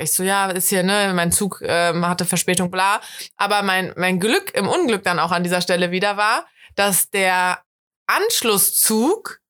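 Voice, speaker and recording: A woman from the Rhineland with a Rhineland German accent, chatting casually as a podcast co-host and acting out her own quotes as she tells stories. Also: medium pitch. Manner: animated storyteller, acts out her own quotes. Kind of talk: casual chat.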